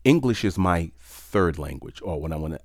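A man from New York City who grew up speaking French first, telling a personal story in a reflective, measured way with occasional fillers. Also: low voice, animated, a little mellow, dry recording, studio quality.

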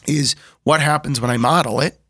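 A man's voice: mid-range voice, steady tone, moderate pace, sharp tone, breathy edge, no echo. Thoughtful middle-aged man, dry close sound. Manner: thoughtful, restarts often, says "like" a lot. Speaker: brother in a family discussion. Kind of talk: casual reflective conversation.

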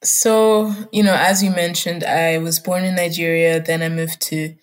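A young woman who grew up in Germany and Scotland, with German as her first language, tells her own story as an interview guest. She talks reflectively, with fillers and a few soft laughs. Her voice sits on the lower side for a woman. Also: level tone, unhurried. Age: young adult. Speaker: student guest.

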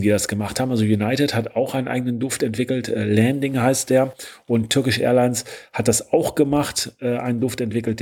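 A man's voice: mid-range voice, a little mellow, breathy edge. A male podcast host with a stuffy nose from a cold, explaining a topic in a chatty solo talk with frequent filler sounds.

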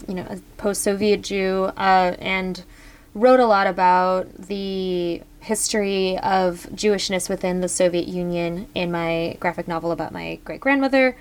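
A woman's voice: fairly low pitch, fairly even intonation, a little slow, smooth. A woman from Ukraine speaking as a podcast panelist in a chatty way, with frequent fillers. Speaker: professor, panelist. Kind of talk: casual panel discussion.

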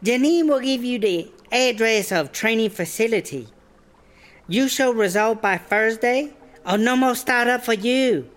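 A woman's voice: fairly high pitch, measured pace, lightly acted. A woman playing a breezy, bossy startup boss in a comedy audio drama, speaking clipped broken English. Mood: brisk, bossy. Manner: clipped broken English, dropped verbs and articles.

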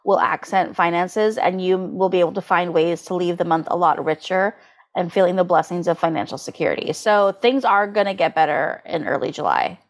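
A woman's voice: fairly low pitch, a little fast. A woman co-hosting a casual podcast, talking in a playful, colloquial, wry way. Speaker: podcast co-host. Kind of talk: casual chat about horoscopes.

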